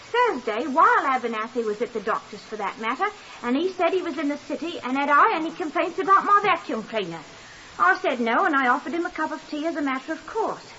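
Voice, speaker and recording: A talkative neighbour in an old radio drama. Her voice is high and swoops about as she rambles eagerly and deferentially. The vintage recording hisses. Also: bright, forceful tone. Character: chatty, deferential, rambling working-class neighbour woman. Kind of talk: 1950s radio drama acting. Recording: hissy, muffled 1950s radio recording.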